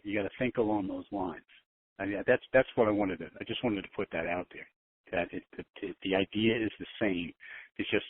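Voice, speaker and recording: A man giving casual advice on a conference call, informal and often repeating his phrases. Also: fairly low pitch. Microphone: telephone conference line. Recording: narrow muffled phone line.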